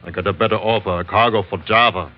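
A man's voice: lowish voice, steady tone, fast talker, natural voice. Blunt Dutch sea captain, a male actor, muffled radio. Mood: blunt, businesslike. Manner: blunt, clipped, foreign-sounding phrasing. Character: gruff, businesslike Dutch sea captain, man. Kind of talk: 1940s radio drama acting. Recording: muffled vintage radio, faint music.

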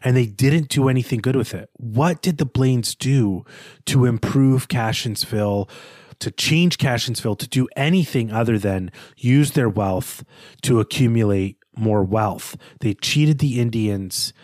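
A man co-hosting a podcast, discussing literature in a halting, emphatic way with repeated words. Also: medium pitch, darkish tone, no echo, studio quality. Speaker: podcast host.

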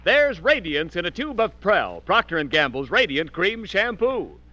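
A man's voice: high-pitched, sing-song, moderate pace, bright, forceful tone, dull audio. A man with an American accent announcing and advertising in polished, emphatic 1940s radio style. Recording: thin vintage radio recording.

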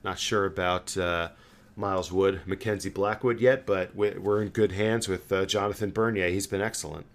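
A man's voice: low voice, fairly even intonation, moderate pace. A man hosting a sports podcast in casual, conversational chat about hockey.

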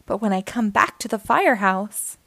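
A woman narrating a children's picture book aloud with animated, dramatic storytelling aimed at young children. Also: medium pitch, moderate pace, vocal fry, no echo. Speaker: storybook reader, host.

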